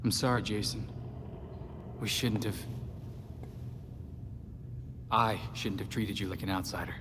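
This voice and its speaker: A man's voice: on the low side, moderate pace, natural voice. A man playing an earnest, kindly young vigilante in a video game, speaking sincerely and openly. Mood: apologetic. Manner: earnest, animated, apologetic. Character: earnest, kindly young male vigilante, eldest brother type. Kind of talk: video game cutscene acting. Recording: long reverb, clear background noise.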